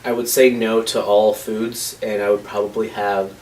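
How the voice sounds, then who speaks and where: A man in his thirties in a documentary interview, talking hesitantly with many pauses and fillers. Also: on the low side, flat delivery, a little mellow. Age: 30s. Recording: voice from a distance with faint noise.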